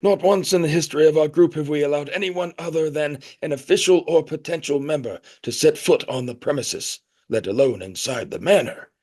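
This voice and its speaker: An actor playing a pompous, nostalgic, middle-aged leader of a secretive group in an audio play, speaking in a grandiose, rambling way. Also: male voice, a little high, fairly quick, few pauses, darkish tone, lightly acted. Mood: self-important.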